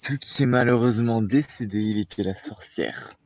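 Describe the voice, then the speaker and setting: A man's voice: medium pitch, moderate pace, darkish tone, no echo. A man narrating an online werewolf game in a grand, mock-formal announcer style, with faint music behind him.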